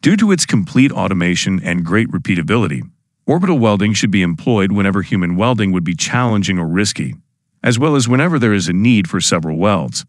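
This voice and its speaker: Lively low-voiced male explainer narrator. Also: quick pace, no echo, clean studio sound. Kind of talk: scripted narration.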